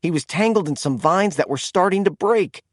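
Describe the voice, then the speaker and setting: Animated male children's storyteller. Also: high-pitched, moderate pace, dry recording, clean studio sound. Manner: expressive, animated delivery for children. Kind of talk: scripted read-aloud storytelling.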